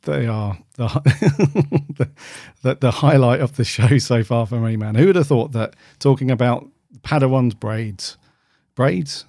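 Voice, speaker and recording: A man's voice: mid-range voice, unhurried, warm tone, dry recording, studio quality. A man co-hosting a podcast in casual, easygoing, friendly chat. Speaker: podcast co-host.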